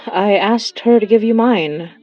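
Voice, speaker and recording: A woman playing a weary, affectionate young monster hunter confiding haltingly over a phone call in an audio drama. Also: mid-range voice, close to an everyday voice. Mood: tender, wistful. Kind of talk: intimate audio drama monologue.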